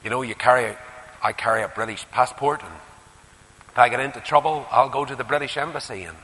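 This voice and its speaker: A man with a Northern Irish accent preaching a sermon, putting rhetorical questions and moving between emphatic rises and quieter asides. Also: medium pitch, a little fast. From Northern Ireland. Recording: echoey hall with long reverb.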